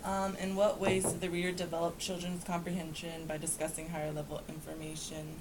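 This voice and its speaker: A woman's voice: lowish voice, monotone, fairly quick. A young woman reading out checklist points and adding casual feedback. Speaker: trainee tutor giving peer feedback. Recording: noisy room recording.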